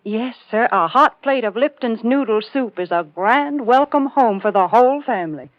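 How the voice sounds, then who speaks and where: A woman playing a prim, chatty, folksy homemaker pitchwoman in 1940s radio style. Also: animated, normal speed, no echo, close to an everyday voice. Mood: cheerful selling. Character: chiding, wholesome homemaker pitchwoman. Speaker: commercial announcer and foil to the host. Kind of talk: 1940s radio advertising banter. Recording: dull 1940s radio recording.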